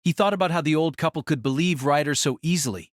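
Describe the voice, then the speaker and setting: A man's voice: fairly high pitch, quick pace, dry recording, clean studio sound. A man narrating a novel as a scripted audio drama.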